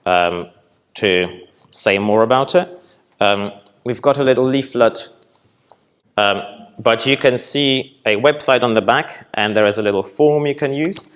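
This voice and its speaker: A young man from Poland presenting at a festival hall and taking audience questions, relaxed and hesitant with many ums. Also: mid-range voice, a little slow, clean tone. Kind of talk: conference talk with audience Q&A.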